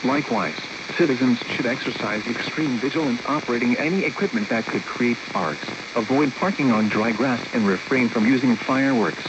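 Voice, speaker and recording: A man's voice: mid-range voice, moderate pace, hardly any pauses. A synthesized man's voice reads out a weather warning in a mechanical, evenly paced cadence, clipping each heading short. It comes through a weather radio receiver, the sound dulled and muffled, under a heavy bed of hissing static. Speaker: automated weather radio announcer. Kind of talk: synthesized weather bulletin reading. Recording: dull radio broadcast with loud static.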